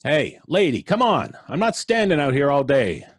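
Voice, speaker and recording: Brusque, impatient radio-drama cop, swooping intonation. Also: male voice, fairly high pitch, wildly swooping pitch, normal speed, husky, dry recording, clean studio sound, exaggerated voice. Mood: impatient, bossy. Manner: blunt, slangy, bossy. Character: brusque, impatient tough city police officer. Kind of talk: old-time radio suspense acting.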